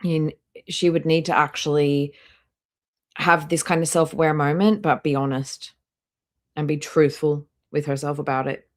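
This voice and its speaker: Low voiced lady streamer, casual chatty reaction commentary, talks right to the viewers. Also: steady tone, normal speed. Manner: chatty, addresses chat viewers directly. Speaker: livestream host reacting to videos.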